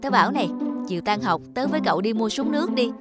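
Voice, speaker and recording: Cartoon schoolboy voiced by a woman: high, expressive boyish voice, mischievous and easily flustered, music behind. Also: fast talker, lightly acted. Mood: excited scheming. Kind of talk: children's cartoon dialogue.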